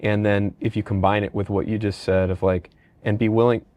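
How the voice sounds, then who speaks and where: Middle-aged male host, slightly low pitch, close dry recording, casual, rambling interview talk. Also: little pitch movement, a little fast, darkish tone, dry recording. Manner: casual, rambling anecdotes and questions. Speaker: podcast host, company leader. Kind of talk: conversational interview.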